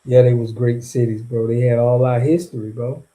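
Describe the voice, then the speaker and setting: A man hosting a casual livestream, reacting to viewer comments in an animated, slangy and mocking way. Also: mid-range voice, moderate pace, rough recording. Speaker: streamer reacting to viewer chat.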